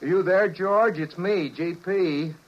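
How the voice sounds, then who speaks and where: A man's voice: high-pitched, slow pace, noisy background. A man playing a suave, breezy robot double of a husband, jaunty and chummy, in 1950s radio drama style. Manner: jaunty, chummy, affected old-boy phrasing. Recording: dull 1950s radio recording.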